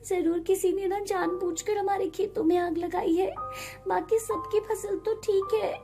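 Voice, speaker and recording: A caring young farmer's wife put on by a female storyteller speaks in a lifted, high voice. She sounds gentle and concerned, as in a cartoon moral tale, with music underneath. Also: fairly even intonation, a little slow. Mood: worried. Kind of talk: animated moral story dialogue.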